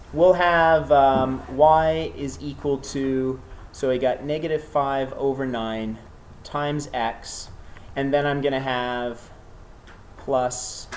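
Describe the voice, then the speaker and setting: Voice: mid-range voice, darkish tone, slight background noise. A math teacher who has a cold gives a classroom lecture, often checking in with students and encouraging them.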